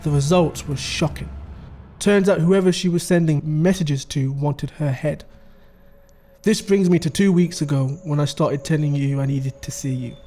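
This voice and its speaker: A man's voice: fairly high pitch, normal speed, mellow, soft background music, lightly acted. A man playing a scheming middle-aged father, explaining things conversationally in a dramatic audio story. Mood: grave, confiding. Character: scheming middle-aged father recounting his wife's crimes. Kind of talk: dramatic dialogue.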